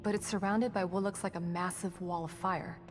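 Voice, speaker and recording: An actress playing a composed, earnest scientist ally in a video game, speaking in a measured, sincere and practical way. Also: female voice, fairly low pitch, fairly even intonation, noisy background, close to an everyday voice. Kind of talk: cinematic video game acting. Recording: music under the dialogue. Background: music.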